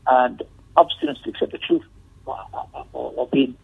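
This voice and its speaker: A man's voice: medium pitch, very animated, moderate pace, gravelly, slightly rough. A man teaching a religious lesson in an emphatic manner, explaining a text and repeating phrases for stress. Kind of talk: Islamic lecture, explaining a text with Arabic recitation and quotations. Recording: tinny, narrow phone-like lecture audio.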